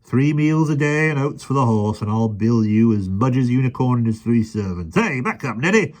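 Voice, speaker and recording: A raucous, scheming fairground showman, put on by a man reading a children's storybook, loud and pushy. His raised voice barks and swings with a hawker's bluster. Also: mid-range voice, lively intonation, normal speed, pitched above the performer's own voice, close to an everyday voice. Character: loud, red-faced, scheming male showman.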